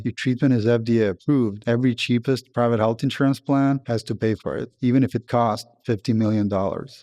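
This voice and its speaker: A man's voice: on the low side, dark tone, dry recording, clean studio sound. A man from Serbia giving a blunt, opinionated monologue to camera, emphatic and swearing freely. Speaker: commentator.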